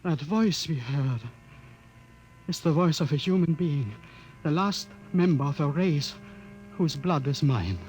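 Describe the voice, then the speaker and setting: An old scientist unfolding a tragic discovery, slow and weighty in his telling. His voice is slightly high, with a faint old-broadcast hum beneath it. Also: animated, darkish tone, low-quality recording. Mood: grave. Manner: slow, heavy, explanatory. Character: aged male scientist, grieving and awed. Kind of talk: 1950s radio drama acting.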